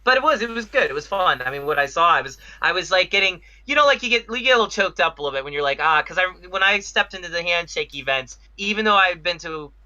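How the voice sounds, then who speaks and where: A man's voice: sits high, expressive, fast, few pauses, bright, forceful tone, dry recording, low-quality recording. A man co-hosting a casual podcast over a video call, talking in an enthusiastic, rambling way. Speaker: podcast co-host. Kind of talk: casual chat.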